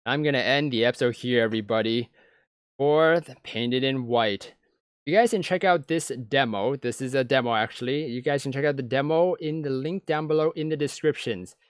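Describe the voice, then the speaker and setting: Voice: mid-range voice, normal speed, clear, resonant tone, dry recording, clean studio sound. A let's play host of unclear sex, reading a visual novel aloud with casual, musing commentary. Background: game music.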